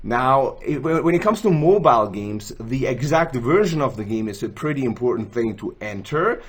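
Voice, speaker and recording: Lively young Swiss man explaining. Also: medium pitch, moderate pace, breathy edge. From Switzerland. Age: young adult. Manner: chatty, self-joking, gestures while explaining. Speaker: gaming tools channel host. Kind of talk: screen-recorded tutorial. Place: indoors, small room, gaming chair.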